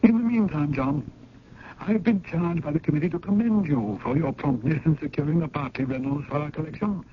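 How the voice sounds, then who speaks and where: Courtly museum elder played by a man, higher-set voice, formal and roundabout, kindly with a sly streak. Dull-sounding 1970s radio drama. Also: a little fast, natural voice. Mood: genial, deliberate. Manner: formal, leisurely, roundabout speech. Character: genteel, courtly museum committee elder, slyly kind. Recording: muffled, noisy old radio broadcast.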